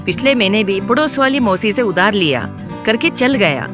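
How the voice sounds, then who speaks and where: A woman's voice: mid-range voice, animated, moderate pace, music in the background, lightly acted. A woman voicing a worried, nagging wife of a poor tea seller in a cartoon moral story, complaining insistently. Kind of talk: acted dialogue in a Hindi animated moral story.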